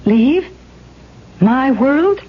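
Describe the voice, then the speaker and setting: An actress playing an earnest, anguished young woman with dramatic, hesitant pauses in a 1970s radio drama. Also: high voice, lively intonation, husky, full character voice. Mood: torn, pleading. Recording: dull, noisy old radio recording.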